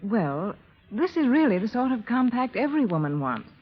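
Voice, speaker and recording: A woman giving a scripted product pitch in the style of a 1930s radio spokeswoman. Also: sing-song, moderate pace, husky. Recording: dull vintage 1930s radio recording.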